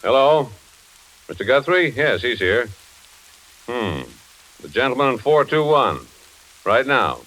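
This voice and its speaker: A man's voice: medium pitch, sing-song, measured pace, long pauses, fairly bright tone, poor audio. An older American man playing an easygoing, reluctant retired investigator in 1940s radio suspense style, folksy and reassuring.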